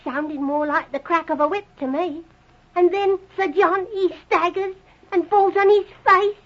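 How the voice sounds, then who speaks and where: An actress playing a loyal, simple English housemaid with a working-class accent, deferential and earnest, in 1940s radio drama style. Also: female voice, very high voice, steady tone, unhurried. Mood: distraught. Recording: muffled old radio recording.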